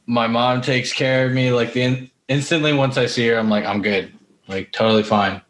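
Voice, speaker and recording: A man's voice: mid-range voice, fairly even intonation, moderate pace, fairly bright tone, slight background noise. Man chatting casually in an echoey room. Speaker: podcast host. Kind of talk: casual chat with personal anecdotes. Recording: roomy, echoey room sound.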